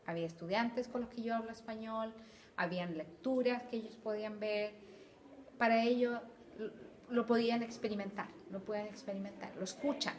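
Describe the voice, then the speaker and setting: A woman's voice: fairly high pitch, steady tone, moderate pace, a little hiss or hum. Chilean woman recalling family abroad. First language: Spanish. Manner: thoughtful, with hesitant repeats. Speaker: interviewee, Spanish teacher. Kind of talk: casual interview answers.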